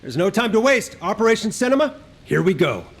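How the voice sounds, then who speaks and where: A man's voice: high-pitched, big pitch swings, brisk, husky, exaggerated voice. An actor playing an upbeat, teasing male squad leader in a video game cutscene. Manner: teasing, commanding.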